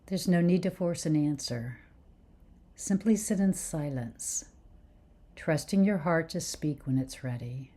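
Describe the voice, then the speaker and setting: A woman leading a guided meditation with soothing, gentle instruction in unhurried phrases. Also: low-pitched.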